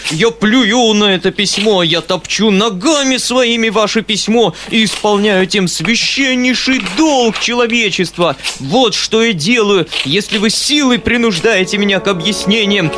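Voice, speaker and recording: A man voicing a shrill, self-righteous hypocrite who shrieks and rants hysterically. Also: unhurried, few pauses, over music. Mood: hysterical fury.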